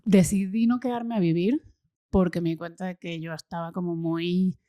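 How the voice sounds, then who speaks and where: A Venezuelan woman in her thirties, talking enthusiastically as an interview guest and often pausing with fillers and repeated words. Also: fairly low pitch, steady tone, dark tone, no room sound, highs cut off. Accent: Venezuelan Spanish. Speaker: guest, social impact consultant. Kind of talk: casual interview conversation.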